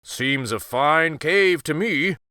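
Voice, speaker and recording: Man doing a big, slow-talking storybook bear. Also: on the high side, lively intonation, sharp tone, no echo, studio quality, heavily acted. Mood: easygoing. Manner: slow, drawn-out, unhurried. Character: big, easygoing, friendly male magical bear.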